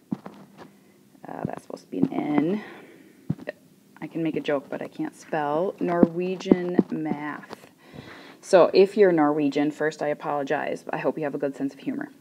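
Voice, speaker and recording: A woman casually telling a joke, chatty and self-deprecating, murmuring quietly as she draws. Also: deep voice, heavy background noise. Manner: chatty, self-deprecating, drops to a whisper while drawing. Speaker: joke teller.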